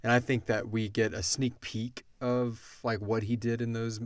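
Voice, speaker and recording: A man's voice: mid-range voice, flat delivery, moderate pace, dry recording. A man hosting a casual Q and A, explaining in an informal, rambling way. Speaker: podcast host. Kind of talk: casual Q and A discussion.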